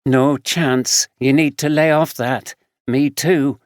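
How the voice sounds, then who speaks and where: A man voicing a caring young homeless woman in her twenties, lifting his voice higher and lighter for her in a storybook reading. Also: steady tone, takes it slowly, dry recording, clean studio sound, close to an everyday voice. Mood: concerned.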